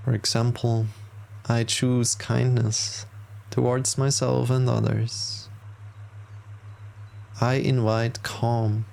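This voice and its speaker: Guy leading a guided meditation, really slow n soothing, bit of gravel in the voice. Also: mid-range voice. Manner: soothing, gentle, measured instruction with long pauses. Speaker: yoga nidra meditation teacher.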